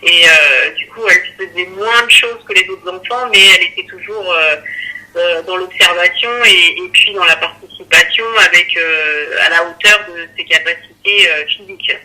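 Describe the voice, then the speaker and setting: High-voiced man, halting, on the telephone. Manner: hesitant, halting explanation. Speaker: interview guest, parent. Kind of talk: radio interview. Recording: bright telephone call on radio.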